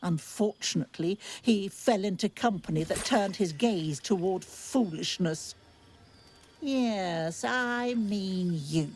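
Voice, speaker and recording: An actress playing a wizard's tart, disapproving companion in a fantasy game, dry, sardonic and refined. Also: female voice, medium pitch, takes it slowly, a little mellow, slight background noise, lightly acted. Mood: scornful. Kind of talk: dramatic game voice acting.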